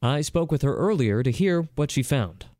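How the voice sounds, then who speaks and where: A man's voice: a little high, expressive, normal speed, darkish tone, no room sound. Male radio host, close dry sound. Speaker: radio show host interviewing a guest. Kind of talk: scripted radio introduction and interview.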